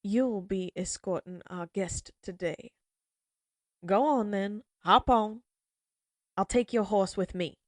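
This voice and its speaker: A female outlaw leader drawls slow, taunting threats at her captives, as a woman acts her out. She speaks at a leisurely pace in a mid-range voice, recorded close and very dry for an audio roleplay. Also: mid-range voice, a little mellow, no echo, close to an everyday voice. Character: menacing female outlaw gang leader, mocking. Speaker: voice actress.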